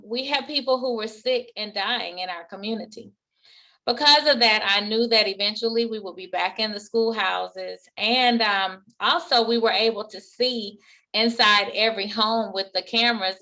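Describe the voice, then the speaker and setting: A woman's voice: mid-range voice, sharp tone. A woman school principal giving an earnest webinar presentation, speaking with pride about her school's work. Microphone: remote webinar audio.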